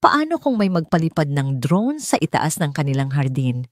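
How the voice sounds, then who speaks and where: A Filipino woman narrates a dramatic story in audiobook style. Her voice sits on the low side for a woman, and the sound is dry and close, with little room to it. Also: very animated, moderate pace, a little mellow, no echo.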